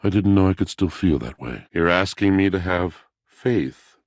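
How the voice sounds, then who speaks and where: An extremely deep, husky video game voice for a brooding, lovelorn washing machine. He declaims in flowery, poetic phrases like a tortured soul, theatrical and melodramatic. The recording is close and dry. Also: animated, normal speed, no room sound. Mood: tender, hopeful. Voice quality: very deep, gravelly.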